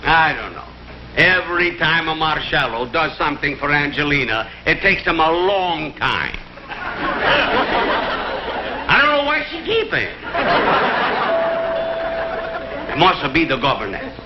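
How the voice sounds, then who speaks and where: Excitable high-pitched Italian husband. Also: male voice, moderate pace. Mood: impatient. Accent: mock Italian. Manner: broken English, stammering. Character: excitable, flirtatious Italian husband, eager and flustered. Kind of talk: 1960s TV comedy sketch acting. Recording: muffled old TV audio, noisy studio audience.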